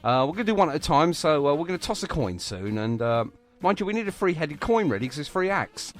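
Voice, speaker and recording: Animated English male radio host. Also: a little high, fast talker. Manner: effusive, jokey, matey. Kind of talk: live radio banter and interviewing.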